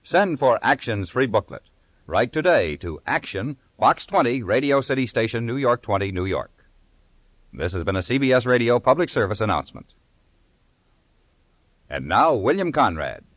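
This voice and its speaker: A man's voice: medium pitch, big pitch swings, moderate pace, fairly bright tone, no room sound. A man with an American accent reading scripted announcements in a formal, emphatic 1950s radio style. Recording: muffled old radio recording.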